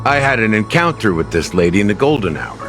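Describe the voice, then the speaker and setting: A man's voice: fairly low pitch, sing-song, fast. A man playing a laid-back, middle-aged investigator in an anime game, casual and wry as he welcomes people. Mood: measured. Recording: loud game music behind. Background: loud game music.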